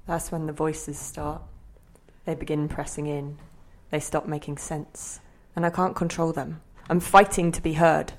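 An actress playing anxious, shifting voices of onlookers and inner thoughts in an audio play, expressive and questioning. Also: female voice, deep voice, a little slow. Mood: tense, hushed. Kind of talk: theatrical audio play acting.

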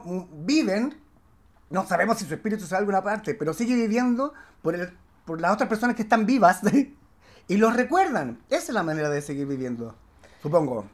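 A man with a Chilean accent chatting casually as a guest, slangy and candid, often halting as he reflects. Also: high-pitched. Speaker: actor, podcast guest.

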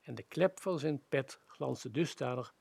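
Gravelly, husky male storyteller. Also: mid-range voice, animated, unhurried, a little mellow, dry recording. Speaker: radio play narrator. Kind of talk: scripted literary narration in the first person.

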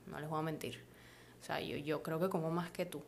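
A woman's voice: deep voice, level tone, a little fast, gravelly, a little hiss or hum. A young woman with a Maracaibo Venezuelan accent, co-hosting a casual chat and laughing easily, with lots of "o sea". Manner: chatty, laughs easily, uses fillers like "o sea". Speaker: podcast co-host.

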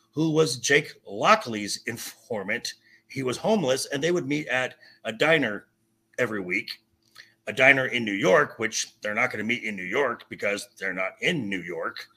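A man in a heated podcast debate, speaking emphatically and indignantly and often stammering over repeated words, with a rough edge to his voice. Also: mid-range voice, animated, normal speed, bright, forceful tone, rough recording. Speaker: podcast guest. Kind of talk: heated debate about comic adaptations.

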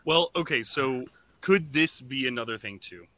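A man hosting a podcast, chatting casually and enthusiastically in an animated way. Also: mid-range voice, moderate pace, no echo. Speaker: podcast host.

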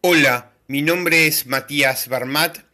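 A man's voice: a little high, measured pace, bright, forceful tone. A man with a Buenos Aires Spanish accent, fluently and assuredly giving a prepared self-introduction. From Argentina. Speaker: guest speaker.

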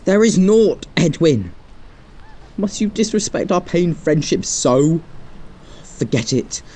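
A remorseful, frightened companion, played by a man with a mellow, soft-edged voice. He speaks in solemn, old-fashioned phrasing that can break into desperate pleading. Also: on the high side, expressive, slow pace. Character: guilt-torn male companion, fearful and remorseful. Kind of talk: archaic dramatic dialogue.

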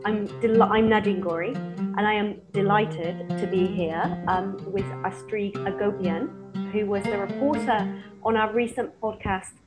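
A woman's voice: medium pitch, poor audio. A woman hosting a podcast's live chat, opening with hesitant "um" starts and asking her guest questions. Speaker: podcast host.

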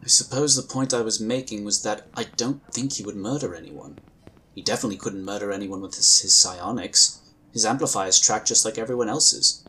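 An actor playing a calm, courteous psionic inquisitor in a sci-fi audio drama, with measured, formal phrasing. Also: male voice, on the low side, husky, rough recording. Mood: thoughtful, reasoning.

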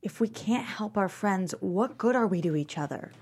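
An actress performs an anguished wife weighed down by guilt. She speaks in a raw, halting, true-to-life way, as in a dramatic table read of a film script. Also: mid-range voice, lively intonation, a little mellow, husky. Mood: pleading. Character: anguished, guilt-ridden wife caring for her sick friend.

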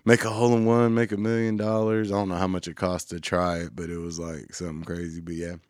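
Laid-back low-voiced male radio host. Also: little pitch movement, fast, no echo, clean studio sound. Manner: laid-back, rambling, trails off often. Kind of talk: casual chat.

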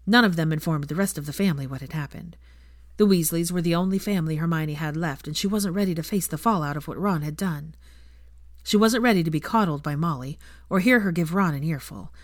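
Woman, audiobook narrator, lowish voice, measured, expressive, dry close recording. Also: dry recording. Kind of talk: scripted story narration.

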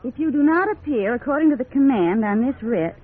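An actress playing an anxious, earnest housewife in a 1950s radio drama, hesitant and worried. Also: female voice, sits high, lively intonation, a little fast, husky. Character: anxious, earnest suburban wife and mother. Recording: tinny, narrow 1950s radio recording.

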